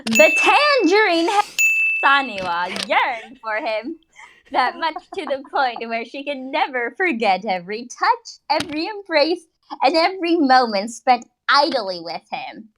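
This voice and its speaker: A woman narrating fan fiction in a mock-dramatic style, with theatrical, drawn-out phrasing and dry asides. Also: sits high, very animated, a bit bright, slight background noise, poor audio. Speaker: narrator of a comic dramatic reading.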